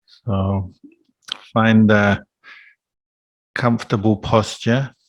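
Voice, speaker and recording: A man gives guided meditation instruction in a lowish, very slow voice. His delivery is calm and flat in melody, with gentle pauses between short phrases, as a monk teaching would speak. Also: no echo, poor audio.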